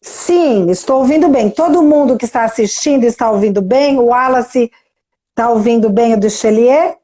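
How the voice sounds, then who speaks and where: A woman's voice: a little high, moderate pace, warm tone. A woman with a Brazilian Portuguese accent hosting a live interview over a video call, effusive and chatty, tagging sentences with questions. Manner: effusive, chatty, many "né?" tags. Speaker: live-stream host and interviewer. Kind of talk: warm conversational interview.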